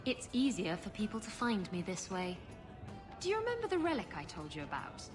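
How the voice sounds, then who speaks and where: A confident, roguish female treasure hunter for a video game. Her medium-pitched voice is animated and breezy, with music clearly behind it. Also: a little fast. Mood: eager.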